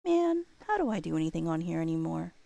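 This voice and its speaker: A woman's voice: low-pitched, very animated, quick pace, creaky, warm tone. A woman livestreamer chatting casually, rambling playfully and half talking to herself. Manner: rambling, talks to self while working, playful. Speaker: livestreamer, host.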